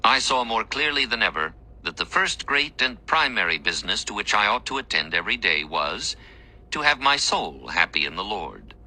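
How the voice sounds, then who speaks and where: A man narrating a scripted first-person devotional text in a measured, formal, earnest manner. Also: lowish voice, big pitch swings, pushed and bright, poor audio.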